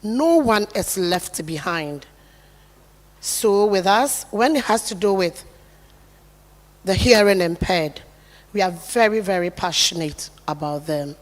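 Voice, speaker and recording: A woman giving a formal speech at an event, in a low, soft voice, earnest and declarative. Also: animated, slow pace, long pauses. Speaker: corporate guest speaker. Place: large event hall. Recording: reverberant hall, long echo.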